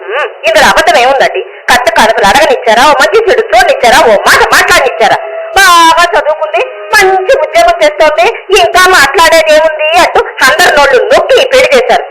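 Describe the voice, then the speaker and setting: A woman's voice: high-pitched, quick pace, over a noisy crowd, lightly acted, rough recording. An actress playing a complaining middle-aged mother-in-law in a Telugu radio drama, nagging sarcastically with indignant rhetorical questions. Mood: sarcastic. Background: music.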